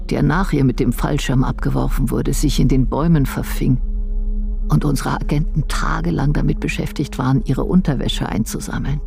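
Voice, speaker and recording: A regretful spymistress thinks aloud in a radio play. Her voice is hushed and reflective, with heavy creak. Soft music sits behind her. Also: a bass voice. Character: regretful older spymistress recalling a lost agent. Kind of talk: radio drama inner monologue.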